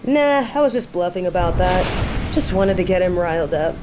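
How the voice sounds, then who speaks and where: A woman's voice: fairly low pitch, lively intonation, moderate pace, roomy sound, background noise, lightly acted. A woman playing a smug, bored sorceress turned journalist in an audio drama, with a sardonic, condescending way of talking. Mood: playful. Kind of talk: audio roleplay drama acting.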